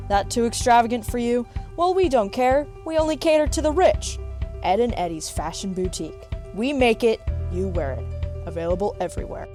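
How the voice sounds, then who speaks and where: A woman's voice: mid-range voice, dark tone, close to an everyday voice. Brash, snobbish ad announcer played by a woman, music behind. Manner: salesy, flippant, haughty. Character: brash, snobbish fashion boutique advertiser. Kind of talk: parody radio commercial.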